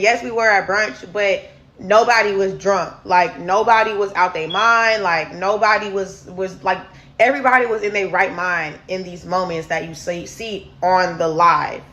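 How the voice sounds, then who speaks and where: A deep-voiced woman, candidly telling viewers a personal story in a casual storytime vlog. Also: normal speed, fairly bright tone. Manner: candid, chatty, addresses viewers as y'all. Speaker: storyteller host.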